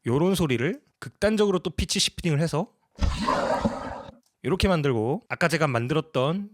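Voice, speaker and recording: A man's voice: fairly high pitch, expressive, moderate pace. A man narrating a casual tutorial as a sound designer, explaining each step politely.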